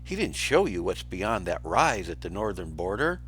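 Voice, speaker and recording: Casting: scheming adult male lion for a storybook, read by a man, coaxing with false concern, swooping pitch. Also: very animated, normal speed, fairly bright tone, faint music behind. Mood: sly feigned concern. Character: sly, manipulative adult male lion, villainous uncle. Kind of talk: children's storybook read aloud.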